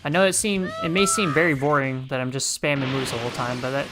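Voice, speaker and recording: A game streamer giving casual, playful commentary on play, with excitable outbursts. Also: medium pitch, quick pace, over music. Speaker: livestreamer. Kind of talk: casual gameplay commentary with shouted move names.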